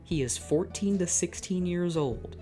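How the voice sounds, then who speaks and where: A woman's voice: on the low side, a little slow, dark tone, faint music behind. A young woman reading a fan fiction aloud and breaking off into exasperated, sarcastic comments. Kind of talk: dramatic reading with comic asides.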